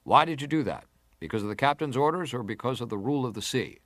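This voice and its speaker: An actor playing an insistent senator who leads a formal inquiry, questioning in a pressing, formal manner. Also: male voice, mid-range voice, animated, fast, fairly bright tone, dry recording, studio quality, close to an everyday voice. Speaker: actor reading testimony. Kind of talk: dramatized reading of hearing testimony.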